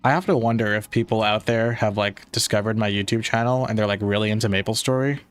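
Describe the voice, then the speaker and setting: A man's voice: lowish voice, fairly even intonation, fast talker, clear, resonant tone, soft background music. A young man casually chatting on a game stream, rambling with lots of fillers, with a slightly nasal voice. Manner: rambling, many "like" fillers, laid-back. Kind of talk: casual livestream chat reading chat.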